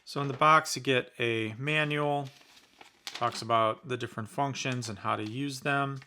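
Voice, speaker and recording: A man's voice: mid-range voice, fairly even intonation, normal speed, pushed and bright, slight background noise. A man giving casual, conversational commentary as he reviews a product in an unboxing. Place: indoors in a basement.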